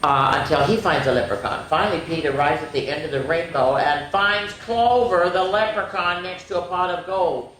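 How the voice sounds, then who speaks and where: A woman reads a picture book aloud for young children in a very echoey room. She is expressive and playful, with chatty comments to her listeners. Faint noise sits behind her voice. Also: on the low side, moderate pace. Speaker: children's story time host. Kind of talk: animated read-aloud of picture books with chatty asides.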